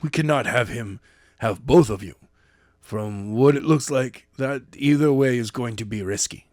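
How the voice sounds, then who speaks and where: A calm, thoughtful ally who talks through a plan step by step, read by a man in his own voice. The delivery is measured and even, mid-pitched, recorded close and dry. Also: no room sound, natural voice. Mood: reasoning. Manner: measured, reasoning. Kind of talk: amateur audiobook reading.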